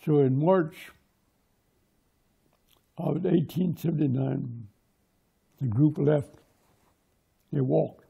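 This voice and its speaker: A man whose first language is Lakota, telling oral history in short, plain sentences. His voice sits high for a man but has a very dark, mellow tone. He speaks slowly and unhurriedly. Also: vocal fry, breathy edge. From Pine Ridge, South Dakota. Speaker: interviewee, local historian.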